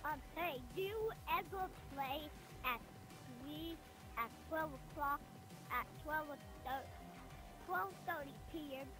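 A woman's voice: sits high, slow pace. A female-sounding, childish voice chatting casually and exclaiming during an online game, as if through a voice changer. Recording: game voice chat over loud music, gunfire.

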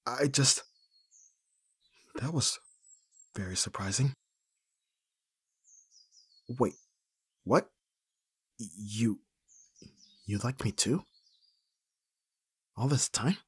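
A man acting a flustered young best friend in love in an intimate ASMR roleplay, husky and breathy, with a hesitant, stammering delivery. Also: medium pitch, very slow, long pauses, a bit bright, no echo, full character voice. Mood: surprised, tender. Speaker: audio roleplay voice actor.

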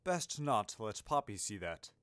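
A man's voice: on the low side, big pitch swings, fairly bright tone, clean tone, no room sound, clean studio sound. A man voicing a grandfatherly old wizard headmaster in an audiobook story, courteous, wordy and a little puzzled. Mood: politely inquiring. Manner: genteel, wordy, halting over asides.

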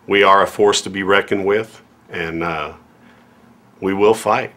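A man speaking forcefully at a press event, emphatic with fillers between points. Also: deep voice, steady tone, unhurried.

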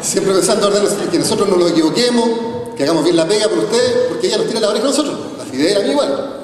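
Man, forties, high-pitched voice, mayor's podium speech, long hall reverb from far off. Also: animated, fast talker, crowd noise. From Chile. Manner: earnest, rhetorical, with repeated tag questions. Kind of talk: formal public speech. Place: large hall, on stage at a podium. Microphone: podium microphone, recorded from a far camera.

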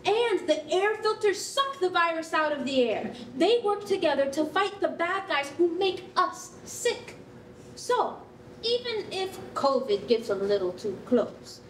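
An actress performs an upbeat, encouraging woman counsellor from a children's story. Her very high voice moves slowly and playfully, with reassuring emphasis. It is heard from a distance in an echoing stage space. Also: sing-song, heavily acted. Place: concrete rehearsal hall, standing at music stand. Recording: distant roomy stage recording, faint noise.

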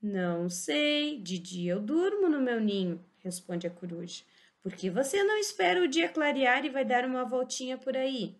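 A woman teacher giving a slow, animated storybook reading for small children, in a Brazilian Portuguese accent. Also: sits high. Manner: sing-song, exaggerated expressive reading. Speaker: teacher reading a picture book.

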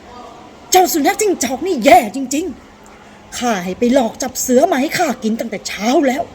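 A woman voicing a wily father goat who shouts and scolds gruffly as a scary monster in a children's folktale. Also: sits high, big pitch swings, moderate pace, a little hiss or hum. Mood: angry, menacing. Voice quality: gruff put-on voice.

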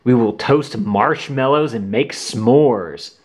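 A man voicing a friendly, gently firm mother in a children's storybook, with an instructive, motherly manner. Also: medium pitch, slow, breathy edge. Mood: kindly.

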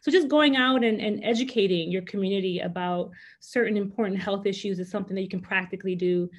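A woman's voice: mid-range voice, quick pace. A woman physician who is a native Spanish speaker, sharing practical advice on a panel over a video call from her office. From United States. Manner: practical, animated hand gestures. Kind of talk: panel discussion answer. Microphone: video call, laptop camera.

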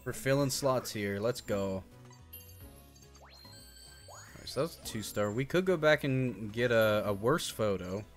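Male game streamer, animated and slangy, thinking out loud, music underneath. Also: on the low side, unhurried, pauses often. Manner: chatty, thinking aloud, slangy. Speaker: streamer, show host. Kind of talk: casual game stream commentary.

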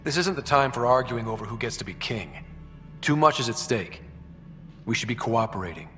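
A man's voice: mid-range voice, animated, normal speed, fairly bright tone, long reverb tail, faint music behind, lightly acted. A man playing an earnest, level-headed young human explorer in a video game, speaking plainly and casually. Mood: firm.

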